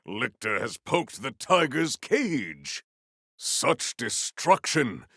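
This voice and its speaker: A man's voice: mid-range voice, very animated, bright, forceful tone, no room sound, studio quality, exaggerated voice. A man voicing a stern, formal warrior in a video game, proud and battle-hungry, with grave and eloquent diction. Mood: solemn, measured. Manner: formal, measured, ceremonious diction, few contractions. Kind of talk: video game character voice lines.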